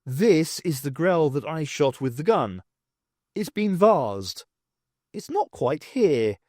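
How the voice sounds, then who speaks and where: A man's voice: on the high side, sing-song, slow, darkish tone, no room sound, clean studio sound, exaggerated voice. A man voicing a bold, self-satisfied male academic in an audiobook, with a showy, declaiming manner. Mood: triumphant.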